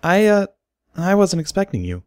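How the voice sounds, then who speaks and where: Man voicing a flustered pony mare, pitched up. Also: sing-song, moderate pace, clear, resonant tone, no echo. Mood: flustered surprise. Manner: hesitant, stammering. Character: shy, flustered pony mare, embarrassed doting mother.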